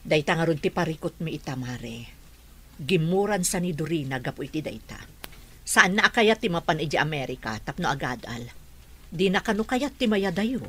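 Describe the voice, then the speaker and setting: A woman's voice: sits low, animated, moderate pace, fairly bright tone, breathy edge, exaggerated voice. Fretful mother with swooping intonation. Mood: worried, subdued. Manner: emotional, fretful. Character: anxious middle-aged mother, fretting over her wayward daughter. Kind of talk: radio drama acting.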